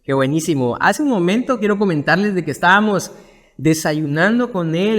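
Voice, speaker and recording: A man from Guatemala, a pastor hosting a podcast interview, who talks warmly and formally as he welcomes and questions his guest. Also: high voice, big-room sound. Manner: warm and welcoming, formal in address.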